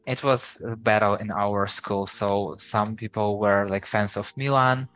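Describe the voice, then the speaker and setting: A man's voice: lowish voice, a little slow. Ukrainian accent guy chatting on a podcast, hesitant, tons of fillers, english is his second language. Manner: lively, hesitant, many "like" fillers. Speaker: podcast guest. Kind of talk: casual interview chat.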